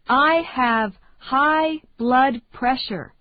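A woman reading English phrases slowly and deliberately for learners to repeat. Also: sits high, no echo. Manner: slow, deliberate, carefully enunciated. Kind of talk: slow repeat-after-me drill. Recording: dry, tinny phone-like sound.

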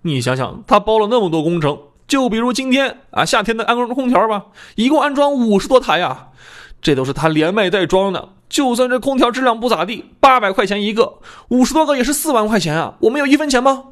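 A man's voice: high-pitched, sing-song, quick pace, pitched above the performer's own voice. A man voicing a disgruntled, cheeky fat apprentice in an audiobook, with an emphatic, complaining delivery. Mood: aggrieved.

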